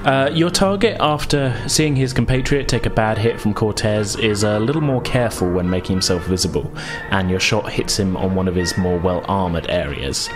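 A man's voice: on the low side, moderate pace, few pauses. A man narrating a tabletop role-playing game as game master. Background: background music.

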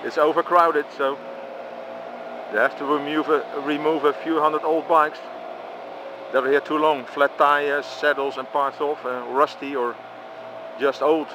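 A man's voice: on the high side, little pitch movement, unhurried. Chatty Dutch man filming outdoors. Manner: chatty, chuckling, exclaims often. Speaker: vlogger filming with handheld camera. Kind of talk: casual walking commentary. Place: outdoors at a busy bike parking by a street. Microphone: handheld camera mic. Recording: noisy outdoor street recording.

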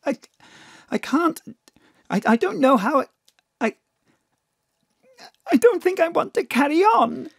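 An actress playing a distraught bereaved mother in an audio drama, halting, stammering and pleading. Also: high voice, big pitch swings, gravelly, dry recording, exaggerated voice.

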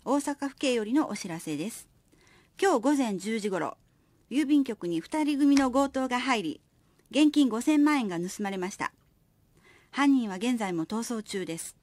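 An actress playing a polite, formal radio announcer reading a police bulletin in a drama. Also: female voice, fairly high pitch, darkish tone, natural voice.

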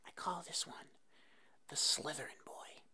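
A woman doing an ASMR roleplay as an easygoing puppet hairdresser, speaking in a soft, breathy whisper with short, reassuring phrases. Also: a little slow. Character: fuzzy monster puppet hairdresser, attentive and easygoing.

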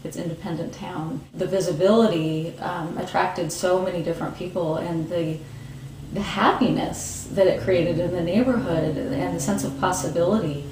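A woman, answering interview questions thoughtfully with pauses and restarts, seated in a room with bookshelves. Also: on the low side, fairly even intonation, darkish tone, roomy sound, recorded from a distance, a little hiss or hum, rough recording. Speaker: market organizer.

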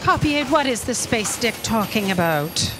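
A woman's voice: fairly high pitch, expressive, moderate pace, over loud music, natural voice. A woman playing an imperious, impatient boss in a staged audio drama, commanding, curt and scornful. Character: imperious, impatient female boss of destiny office. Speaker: stage actor. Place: theatre stage, indoors. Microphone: stand microphone. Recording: noisy live stage recording.

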